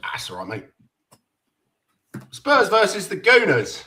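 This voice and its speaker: A man's voice: high voice, huge pitch swings, slow pace, bright, forceful tone, a little hiss or hum. Blunt, sweary male stream host. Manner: blunt, sweary, asks questions. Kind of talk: casual chat.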